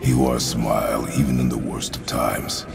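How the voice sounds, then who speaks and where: An actor playing a grim, stoic Spartan warrior father in a video game, speaking tersely and solemnly in formal, measured words. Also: level tone, heavily acted. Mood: solemn, remembering. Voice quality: deep, gravelly. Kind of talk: dramatic video game acting. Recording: loud music behind the voice.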